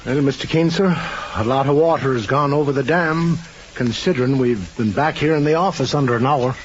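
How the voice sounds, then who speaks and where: An actor playing a loyal, eager, plain-spoken detective's sidekick in an old-time radio drama. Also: male voice, mid-range voice, brisk, close to an everyday voice. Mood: eager, deferential. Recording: muffled, noisy old radio recording.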